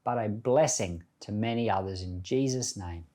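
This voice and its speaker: A man's voice: lowish voice, normal speed, heavy background noise. A man speaking as a pastor, giving informal Bible teaching in a reflective, conversational storytelling manner.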